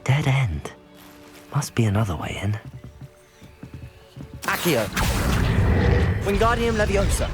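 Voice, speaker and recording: An actor playing a resolute, quietly intent young student wizard in a video game. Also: mid-range voice, huge pitch swings, takes it slowly, pauses often. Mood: focused. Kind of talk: cinematic video game voice acting. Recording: loud game music behind.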